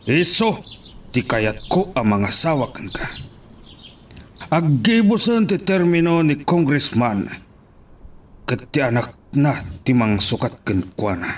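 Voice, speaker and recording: A man's voice: mid-range voice, sing-song, takes it slowly, long pauses, a little hiss or hum. A man playing a stern, commanding middle-aged mayor and father in an audio drama. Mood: stern, displeased.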